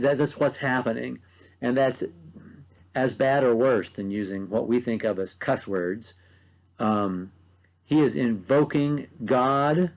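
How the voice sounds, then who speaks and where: A man's voice: medium pitch, low-quality recording. A man with an Ohio Valley accent giving a short devotional Bible teaching in an earnest, conversational way, with frequent ums. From mid-Ohio Valley, USA. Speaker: preacher.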